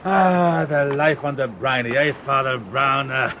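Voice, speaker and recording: A man's voice: mid-range voice, fairly even intonation, a little slow, natural voice. An actor playing an exuberant, whimsical Frenchman in a radio drama. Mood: merry. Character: exuberant, whimsical, easily delighted Frenchman at sea. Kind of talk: British radio drama acting. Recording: noisy sea ambience.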